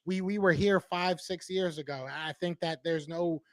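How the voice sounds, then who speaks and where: A man, hosting a casual sports chat, upbeat and quick to laugh. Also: on the high side, steady tone, no echo. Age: 30s.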